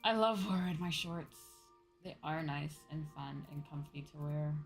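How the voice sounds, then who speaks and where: Chatty woman streamer over clear background music. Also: deep voice, a little slow. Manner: informal, chatty, peppered with "you know" and "like". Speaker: streamer playing an online game. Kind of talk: casual livestream chat, thinking aloud while playing.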